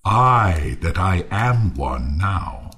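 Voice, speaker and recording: Ruined misanthrope nobleman, scornful, declamatory, widely swinging pitch, Shakespearean audio drama. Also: big pitch swings, very slow, a bit bright, full character voice. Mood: contemptuous. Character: bitter, ruined Athenian nobleman turned misanthrope. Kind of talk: Shakespearean audio play acting.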